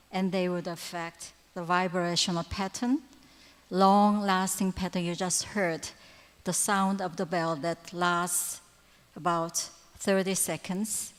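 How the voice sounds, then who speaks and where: A woman giving a lecture at a conference, pausing often with fillers and correcting herself. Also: on the low side. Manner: hesitant, frequent fillers and self-corrections. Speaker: conference speaker. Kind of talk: lecture presentation. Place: large conference hall. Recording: reverberant hall sound.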